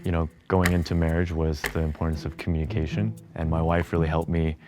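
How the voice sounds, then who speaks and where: A man's voice: a bass voice, level tone, fast talker, over music. A man in his twenties speaking earnestly and insistently in a casual panel chat. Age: 20s. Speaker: panel contestant.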